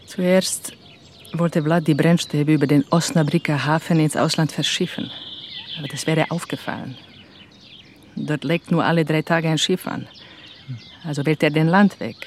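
An actress playing a guarded, proud woman in a radio crime drama, speaking in a measured, confiding way. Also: low-pitched, darkish tone. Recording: faint outdoor background noise. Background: birdsong outdoors.

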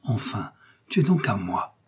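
A man's voice: fairly low pitch, sing-song, measured pace, slightly rough, heavily acted. A man voicing a young, scheming woman prisoner who feigns pious, desperate anguish in a theatrical, pleading manner for a storybook. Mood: quiet calculation.

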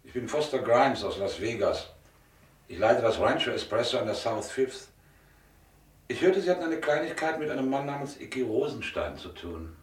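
An actor playing a middle-aged, elegant mobster in a German radio drama, businesslike and coolly threatening. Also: male voice, medium pitch, animated, moderate pace, lightly acted. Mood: polite, probing.

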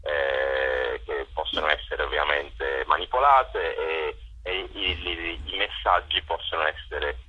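A man's voice: a bass voice, steady tone, slow, dull audio. A man organizing cultural events, answers interview questions, hesitant and full of fillers.

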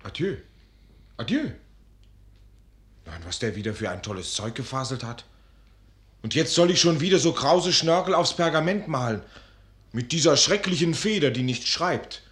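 An actor playing a dreamy, hapless, self-pitying young student in a radio drama, expressive and exclamatory. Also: male voice, on the high side, normal speed, long pauses, echoey room. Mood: bewildered, grumbling. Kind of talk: German radio drama acting.

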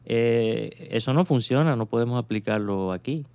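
A man's voice: medium pitch, normal speed, darkish tone, smooth, no room sound, studio quality. A man hosting a radio chat, thinking aloud with many fillers and tag questions. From Cuba. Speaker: radio show host.

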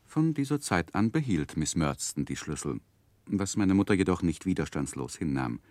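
An actor playing a grown man who looks back on his childhood, narrating a 1960s German radio drama in a measured, reflective way. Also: sits low, very animated, a little mellow, dry recording.

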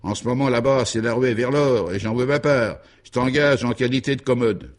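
A man's voice: medium pitch, normal speed, natural voice. A man voicing a brash, fervent male adventurer in a radio story, hearty, bossy and colloquial.

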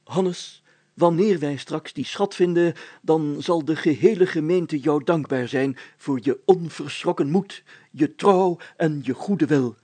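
A man's voice: fairly high pitch, measured pace, dark tone, voice pushed up. A man voicing a pompous, solemn village mayor in a storybook, speaking in a formal, declamatory and long-winded way. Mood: solemn, grandiose. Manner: pompous, declamatory, formal, stammering when flustered.

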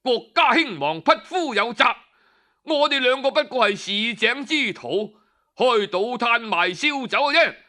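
A righteous Cantonese commoner sage from a storybook, played by a male narrator. He declaims bluntly and indignantly in a high, forceful voice, hammering each phrase. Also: animated, unhurried, exaggerated voice. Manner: declamatory, indignant, hard-hitting. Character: blunt, righteous commoner sage scolding his noble friend.

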